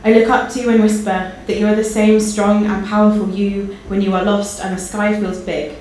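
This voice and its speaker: A woman's voice: medium pitch, level tone, normal speed, darkish tone. Female guest reader, mid-range voice, personal tribute and verse, strongly reverberant room. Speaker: guest poetry reader and friend. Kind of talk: personal tribute and poetry reading. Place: indoor live launch event. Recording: very echoey hall, faint background noise.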